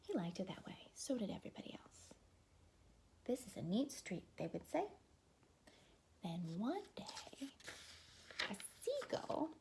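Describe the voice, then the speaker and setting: A woman's voice: high voice, a little mellow, slight background noise. A woman reading a children's picture book aloud as a teacher, expressively, with asides to young listeners.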